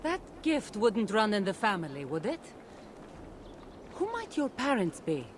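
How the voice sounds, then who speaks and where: A woman's voice: mid-range voice, wildly swooping pitch, a little slow. A woman playing a teasing, chatty, world-weary wandering warrior from ancient Greece in a video game. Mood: teasing, curious. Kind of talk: dramatic video game dialogue. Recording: noisy outdoor game ambience behind.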